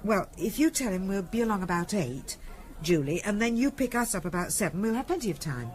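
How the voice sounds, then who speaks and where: A woman playing an anxious but determined middle-class English wife in a 1970s radio drama, halting and pleading as she repeats her words. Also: mid-range voice, expressive, darkish tone, lightly acted, poor audio.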